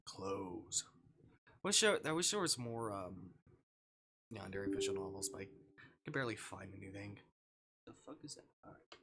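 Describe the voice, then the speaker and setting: A man's voice: on the low side, lively intonation, moderate pace, long pauses, pushed and bright, slight background noise. A man chatting casually as a live streamer, muttering and swearing as he goes. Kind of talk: casual livestream chat, reading a visual novel aloud.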